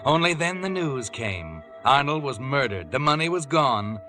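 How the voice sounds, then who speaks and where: Guy doing an ominous old-time radio mystery narrator, sinister and knowing, mid-pitched, music underneath. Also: sing-song, normal speed, close to an everyday voice. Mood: knowing, grim.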